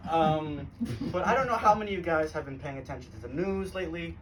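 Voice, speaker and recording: A teenage man from Los Angeles doing a deadpan live stand-up set, often laughing at his own jokes. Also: fairly high pitch, fast talker. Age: teens. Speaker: stand-up comedian. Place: comedy club stage, live audience. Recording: noisy live room, mic at a distance.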